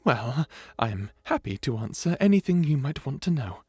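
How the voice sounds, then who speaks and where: Dragon butler, male actor: bashful, courteous, hesitant servant for ASMR roleplay, medium-pitched, faint music underneath. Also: sing-song, normal speed, darkish tone, husky, no room sound. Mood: bashful, apologetic. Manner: stammering, hesitant, deferential, formally polite. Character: shy polite old dragon butler, male, eager servant.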